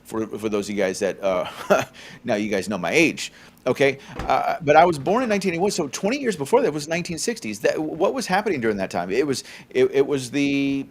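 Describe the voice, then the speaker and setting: Man in his forties, podcast co-host, conversational, frequent "okay?", mid-pitched voice. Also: expressive, a little fast, a little hiss or hum. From California, USA. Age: 40s. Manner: conversational, many fillers and tag "okay?". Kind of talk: faith-centered podcast commentary.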